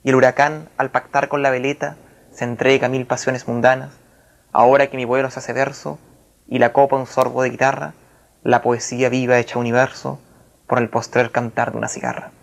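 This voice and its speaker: Gravelly, even-toned man. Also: mid-range voice. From Chile. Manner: halting, searching for words, formal and literary in wording. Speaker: writer being interviewed. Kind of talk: reflective literary interview with recited verse.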